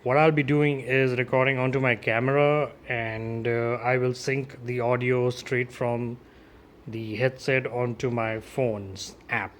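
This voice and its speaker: A man's voice: medium pitch, level tone, a little slow, a little hiss or hum. A man giving a casual, informal unboxing and demo as a gear reviewer, addressing his viewers directly.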